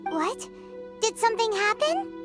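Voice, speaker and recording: A woman voicing a tiny, excitable childlike fairy companion in an anime game, squeaky and exclamatory. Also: a little slow, exaggerated voice. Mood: surprised, worried. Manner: exclamatory, speaks of self in third person. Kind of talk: anime game character acting. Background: background music.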